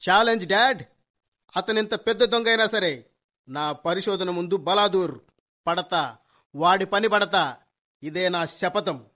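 Guy playing a cocky young wannabe detective son, high voice, big dramatic vows w english words, muffled old radio comedy sound. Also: unhurried, long pauses, bright, forceful tone. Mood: boastful. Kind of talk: Telugu comedy radio play acting. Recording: dull lo-fi radio play recording.

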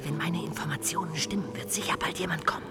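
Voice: mid-range voice, quick pace, music in the background, close to an everyday voice. An actor playing a conspiratorial companion in a German radio play, whispering a secret warning to friends. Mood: hushed, urgent.

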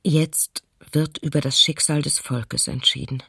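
An actress playing a sober, reflective woman writer of thirty-seven, reading her diary in a radio docudrama with a husky voice. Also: deep voice, fairly even intonation, a little slow, pushed and bright, no room sound, clean studio sound, heavily acted. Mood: troubled. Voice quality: husky, creaky.

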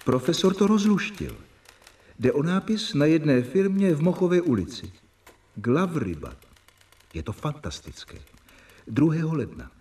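Radio drama: a methodical young doctor narrating, mid pitch, animated, a bit distant. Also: male voice. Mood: earnest. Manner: measured, reporting dates and symptoms. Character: young male doctor keeping a medical journal. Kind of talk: journal-style narration in a radio play.

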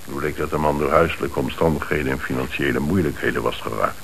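An actor playing a hesitant, formal bank manager under questioning in a radio play. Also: male voice, very deep voice, fast talker, creaky. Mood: earnest, hesitant. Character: troubled, lenient male bank manager under police questioning. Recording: hissy old radio play recording.

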